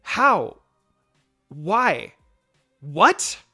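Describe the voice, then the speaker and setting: Man putting on an excitable young girl. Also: high voice, drawn-out words, breathy edge, faint music behind, heavily acted. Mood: shocked. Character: excitable, easily shocked young girl, worried friend. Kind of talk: amateur fan fiction character reading.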